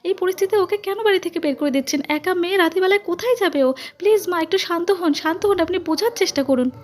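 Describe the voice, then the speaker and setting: A dutiful young wife in a melodramatic story, voiced by a woman narrator. Her voice climbs very high as she begs and questions, urgent and anxious. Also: quick pace. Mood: desperate pleading. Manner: urgent, imploring. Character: anxious, pleading, dutiful young daughter-in-law. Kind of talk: melodramatic family story read aloud.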